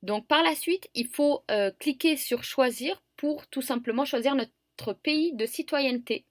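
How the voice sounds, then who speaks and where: A woman's voice: sits high, unhurried, no echo, clean studio sound. A young woman patiently walking viewers through an online admission form, with frequent hesitations. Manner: patient and explanatory, with frequent fillers. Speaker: student guide for university admission form. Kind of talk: screen-recorded tutorial walkthrough.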